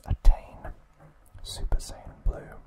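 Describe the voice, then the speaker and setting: Man whispering slowly, ASMR style. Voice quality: breathy, unvoiced whisper. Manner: slow, soft, deliberate phrasing with pauses. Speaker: ASMR video host. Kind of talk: whispered ASMR trivia recap.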